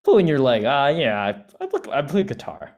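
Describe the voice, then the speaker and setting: An awkward, self-deprecating teenage boy, read by a woman at a table read. The voice is very low, yet its pitch jumps around a lot. He talks hesitantly and trips over his words. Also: wildly swooping pitch, moderate pace, darkish tone, heavily acted.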